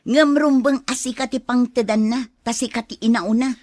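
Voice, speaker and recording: A woman's voice: a little high, steady tone, normal speed, darkish tone, no echo, clean studio sound, close to an everyday voice. A woman playing a greedy, persuasive wife who eggs on her husband in a radio drama. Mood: goading. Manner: insistent, persuasive.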